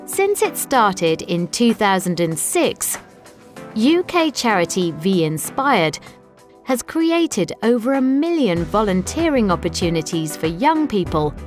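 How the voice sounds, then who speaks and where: A woman reads scripted documentary narration for learners in a measured, clearly enunciated way. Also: mid-range voice, very animated. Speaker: narrator of an educational video report. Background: background music.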